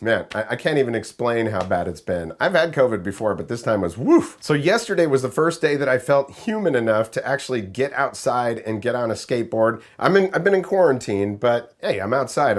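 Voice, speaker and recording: A man reviews riding gear in a loose, chatty vlog. His voice is raspy and hoarse, at an ordinary middle pitch, and he rambles along in an easygoing, friendly way. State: raspy, hoarse voice after illness. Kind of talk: casual product review vlog.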